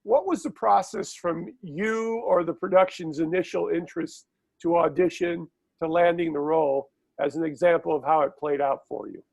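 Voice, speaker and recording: Gravelly man asking interview questions. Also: a little high, fairly even intonation, normal speed, poor audio. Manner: formal questions with short affirmations. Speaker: interviewer, film site host. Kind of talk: reading prepared interview questions. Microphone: video call.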